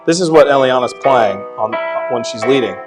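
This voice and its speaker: A man with a light tenor voice at a middle pitch teaches a church class in a relaxed, unscripted way. He stops and restarts his sentences often and fills the gaps with ums. He sounds like someone thinking aloud while explaining. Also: over music. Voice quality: tenor voice, not deep. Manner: halting, many restarts and fillers. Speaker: Sunday school teacher.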